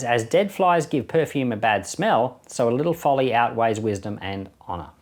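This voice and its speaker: A man's voice: mid-range voice, a little mellow. Australian man teaching, conversational pastor. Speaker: pastor and Bible podcast host. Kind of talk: conversational Bible teaching with read verses and anecdotes.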